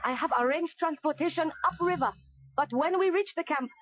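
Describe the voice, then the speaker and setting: A woman playing a brisk Vietnamese resistance guide who speaks clipped, matter-of-fact broken English in a children's audio story. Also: high-pitched, lightly acted. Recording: dull vintage cassette.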